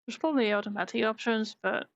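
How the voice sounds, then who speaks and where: A woman's voice: fairly high pitch, quick pace, dry recording, dull audio. A woman from Norway, a native Norwegian speaker, chatting casually with viewers during a live drawing stream, trailing off with fillers as she works. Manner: relaxed, reads chat aloud, trails off with fillers while concentrating. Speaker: art streamer.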